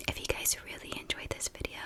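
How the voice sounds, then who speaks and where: A woman speaking in a soft, breathy whisper, slowly and deliberately telling a story in ASMR style, sometimes softly repeating words. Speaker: ASMR YouTuber, host.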